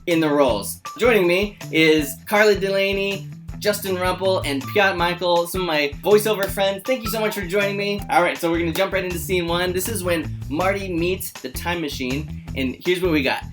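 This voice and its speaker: A man speaks as an informal host with music clearly audible behind him. His delivery is chatty and casual, like an introduction to friends. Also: sits high, normal speed.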